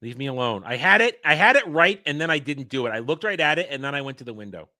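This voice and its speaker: A man hosting a casual livestream, rambling and excitable, restarting his sentences often. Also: medium pitch, quick pace, no room sound, clean studio sound. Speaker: livestream host.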